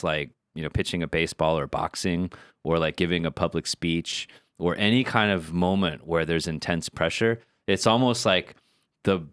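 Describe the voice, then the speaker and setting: Guy with a really deep voice on a podcast, thinking out loud, lots of likes and restarts, dry close sound. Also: smooth, dry recording. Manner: thoughtful, builds ideas with many fillers and repeats. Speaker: podcast co-host. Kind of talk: casual podcast discussion.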